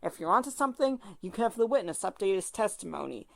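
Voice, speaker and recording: A woman playing a brisk, confident mentor to a rookie lawyer in an amateur audio drama, with music behind. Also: mid-range voice, sing-song, vocal fry. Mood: instructive.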